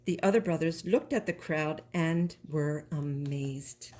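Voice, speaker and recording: A woman's voice: low voice, unhurried, darkish tone. Woman reading a children's story expressively. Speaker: storybook reader.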